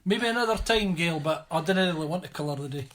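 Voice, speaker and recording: A woman's voice: lowish voice, animated, fast. A woman co-hosting in casual chat, reading out viewer comments and teasing jokily. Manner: teasing, jokey.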